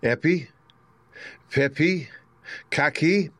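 A man's voice: fairly high pitch, very slow, fairly bright tone, breathy edge, no echo, voice pushed up, exaggerated voice. A man voicing a young, earnest and hopeful girl in a storybook reading. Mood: chanting.

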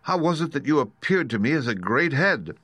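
A man's voice: a little high, big pitch swings, brisk, fairly bright tone, breathy edge, no echo, voice pushed up, heavily acted. A man voicing an earnest, plucky young girl in a storybook, exclaiming and questioning. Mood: bewildered.

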